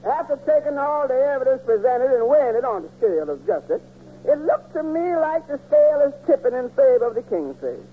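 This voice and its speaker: An actor playing a sly, pompous, bribe-taking arbitrator in 1940s radio comedy style. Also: male voice, very high-pitched, big pitch swings, brisk, breathy edge, full character voice. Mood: scheming. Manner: pompous mock-legal talk. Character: crooked, bribe-taking male arbitrator, mock-solemn and sly. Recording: scratchy, narrow old radio recording, hum.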